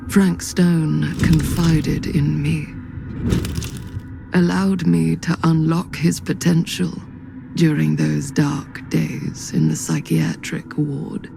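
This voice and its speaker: An actor playing an ancient, cruel male entity who wears human guises, narrating slowly and menacingly in a video game. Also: on the high side, background noise, exaggerated voice. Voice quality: gravelly, slow and menacing. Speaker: villain narrator.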